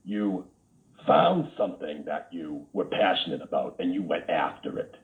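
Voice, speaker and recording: A man's voice: low-pitched, very animated, normal speed. A man playing a flamboyant, theatrical male talk-show host with a Boston accent, gushing and calling everyone darling. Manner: gushing, calls everyone darling, camp asides. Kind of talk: comedic character interview. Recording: tinny phone-like line.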